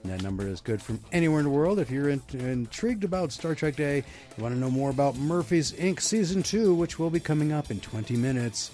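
A man's voice: a little high, expressive, a little fast, dark tone, faint music behind. A man hosting a radio show in a studio, chatting casually and reading news items aloud with frequent restarts.

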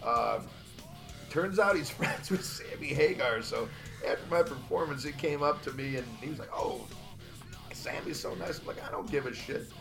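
A man's voice: on the high side, moderate pace, gravelly, music in the background. A man co-hosting a podcast, telling stories in a rambling, hesitant way with many restarts. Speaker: podcast co-host. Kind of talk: casual podcast chat.

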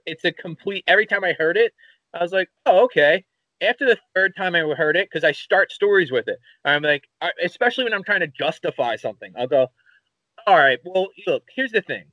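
Philly-accent guy, podcast co-host, slightly high voice, dry and close on the mic, rambling storyteller. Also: no room sound, poor audio. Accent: Philadelphia. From Philadelphia area, USA. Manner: rambling, animated storyteller, swears casually. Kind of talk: casual chat.

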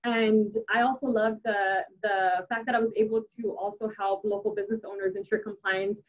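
A woman's voice: mid-range voice, steady tone, normal speed, muffled recording. A young adult woman, a native Spanish speaker, answering interview questions casually with many restarts and fillers. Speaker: civil engineer guest.

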